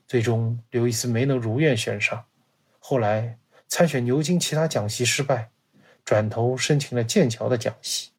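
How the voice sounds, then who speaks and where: A man's voice: medium pitch, a little mellow, slightly rough. A man giving a scripted, dramatized narration like a radio play, acting out quoted dialogue as he tells it. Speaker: guest storyteller.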